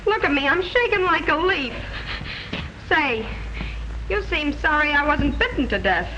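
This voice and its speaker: An actress playing a nervous, indignant sweetheart who is quick with sassy quips in an old comic mystery film. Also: female voice, sits high, big pitch swings, pushed and bright, full character voice. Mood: indignant, shaken. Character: wisecracking, nervous, indignant young female sweetheart. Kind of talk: 1930s-40s comic mystery film acting. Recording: dull, noisy vintage film soundtrack.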